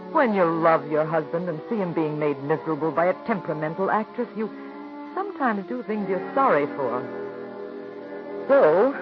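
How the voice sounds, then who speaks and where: An actress playing a married woman who narrates and confesses a petty trick against a star, in 1940s radio drama style. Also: low voice. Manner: confiding, wry and self-reproaching in narration. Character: married woman, a theater star's friend, rueful and firm. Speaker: narrator and lead character. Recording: dull old radio broadcast with music.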